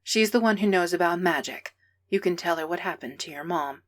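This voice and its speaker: A woman's voice: on the low side, brisk, a bit bright, close to an everyday voice. A woman voicing a werewolf mother and pack leader who speaks in a firm, reassuring way.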